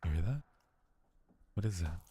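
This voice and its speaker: A man's voice: sits low, animated, normal speed, warm tone, slight background noise. A man livestreaming a horror game, chatting playfully with viewers, muttering to himself and reading on-screen text aloud. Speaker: live streamer. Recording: music playing behind the voice. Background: game music.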